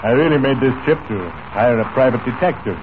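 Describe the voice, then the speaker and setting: A man's voice: medium pitch, natural voice. Actor as a folksy oilman on crackly old radio. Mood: grave, intrigued. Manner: easygoing, folksy, deliberate storyteller. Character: genial, folksy wealthy Texas oilman, a grown man. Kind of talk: 1940s radio acting. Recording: noisy, tinny old radio recording.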